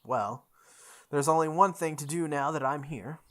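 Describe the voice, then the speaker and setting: A woman playing through a visual novel, reading its text aloud with expressive, goofy casual commentary, sometimes correcting her stumbles. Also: sits low, normal speed. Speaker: host of a visual novel playthrough.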